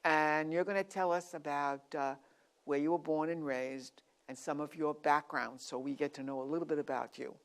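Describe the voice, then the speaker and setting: A woman with a very low-pitched voice hosts a talk show interview. She is chatty and conversational, steering guests with questions and exclaiming at their answers. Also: steady tone, normal speed.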